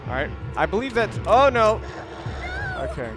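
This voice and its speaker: A man with a fairly high voice talks through a video game in an offhand let's-play style. He rambles, halts and often rewords what he has just said. Game music plays clearly behind him. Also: sing-song. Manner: halting, rambling, often rephrasing himself. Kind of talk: casual gameplay commentary.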